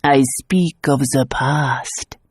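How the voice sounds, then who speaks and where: A woman voicing an old female clairvoyant who speaks slowly and portentously in an audiobook. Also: very deep voice, slightly rough, dry recording, studio quality, pitched below the performer's own voice, heavily acted. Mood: eerie. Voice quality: slow, hushed, portentous delivery.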